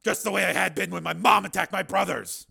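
A man voicing a weary, guarded male cyborg who talks in a tense, clipped, forceful way. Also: high-pitched, brisk, creaky, husky, pitched above the performer's own voice, heavily acted. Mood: haunted.

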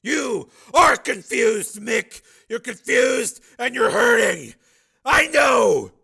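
A man voicing a male ex-partner who shouts and pleads across a distance. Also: very high-pitched, expressive, slow pace, gravelly, slightly rough, voice pushed up, exaggerated voice. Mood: pleading.

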